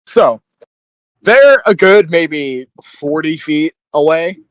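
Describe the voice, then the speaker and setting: A man's voice: a little high, animated, slow, no echo, rough recording. A man playing a tabletop role-playing game, chatting casually with slang and swearing as he describes his character's actions.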